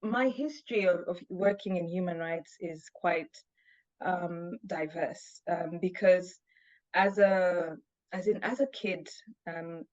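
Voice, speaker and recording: Measured woman from Uganda, lots of ums. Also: fairly low pitch, darkish tone, lo-fi sound. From Uganda, raised in Zambia. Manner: measured, many pauses and ums. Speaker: guest, human rights officer. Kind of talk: interview conversation.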